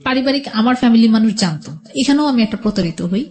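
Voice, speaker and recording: A woman's voice: a little high, expressive, quick pace, dark tone. A middle-aged woman with a Bangladeshi accent telling her personal story as a radio interview guest, conversationally, often quoting what people said. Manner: conversational, quotes past exchanges as she narrates.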